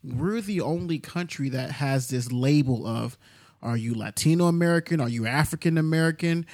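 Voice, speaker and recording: A man's voice: on the high side, moderate pace, a little mellow, dry recording. Man, from Texas, frank, opinionated, dry recording, casual talk. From Houston, Texas. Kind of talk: casual discussion.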